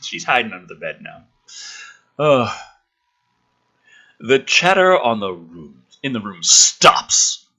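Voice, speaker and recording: A man's voice: mid-range voice, very animated, slow pace, long pauses, sharp tone. A man reading a script aloud with theatrical emphasis and incredulous, profane, exasperated commentary, as the host of a review show.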